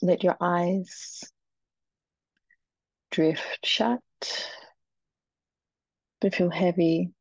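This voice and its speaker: A woman leading a guided meditation in a soft, breathy, soothing voice, with short phrases and long pauses. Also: fairly low pitch, fairly even intonation, dry recording. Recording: dull, muffled, dry recording.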